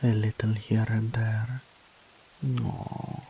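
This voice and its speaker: A man playing a doting incubus demon, gravelly. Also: lowish voice, level tone, slight background noise, full character voice. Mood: doting, tender. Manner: soft, slow, whispery and pampering. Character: doting, possessive male incubus demon lover. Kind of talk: ASMR roleplay audio.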